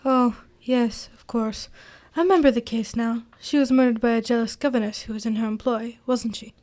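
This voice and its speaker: A woman playing an amiable, chatty gentleman colleague of a detective in an amateur radio drama. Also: a little high, fairly even intonation, normal speed, natural voice. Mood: curious, engaged. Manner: chatty, eager, exclaiming.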